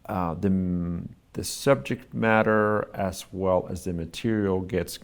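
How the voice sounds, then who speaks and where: A male artist giving thoughtful interview answers, pausing often to search for the right words. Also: deep voice, gravelly.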